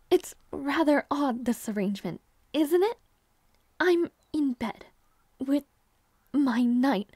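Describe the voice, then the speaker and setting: A woman voicing a shy, flustered young princess who talks softly and hesitantly, often in a whisper and with stammers. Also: sits high, no room sound, exaggerated voice. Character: shy, flustered young princess waking beside her knight. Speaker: audio roleplay performer. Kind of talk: intimate audio roleplay.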